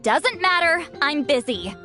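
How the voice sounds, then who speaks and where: Aloof game shaman, female, youthful yet ancient, highish voice, curt and grumpy, music underneath. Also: very animated, a little slow, sharp tone, exaggerated voice. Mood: exasperated. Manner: curt, dismissive, sighing. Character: aloof, grumpy centuries-old female shaman who looks young. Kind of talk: video game voice acting.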